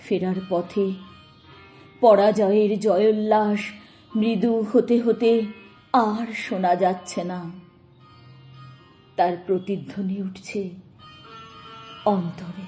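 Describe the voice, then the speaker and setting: A woman recites poetry in a solemn, dramatic style, expressive and mournful, with measured phrases and long pauses. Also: mid-range voice. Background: soft plucked-string music.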